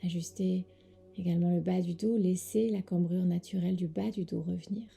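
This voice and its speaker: A woman with a soft, breathy voice leads a guided sleep meditation as a yoga teacher, giving gentle, soothing instructions with pauses. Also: on the low side, steady tone, faint music behind.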